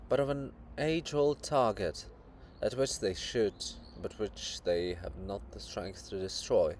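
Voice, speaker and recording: A man's voice: mid-range voice, darkish tone, slight background noise, lightly acted. A man voicing the monument of a proud, anguished princess in a drama, with an impassioned, mournful, declamatory delivery.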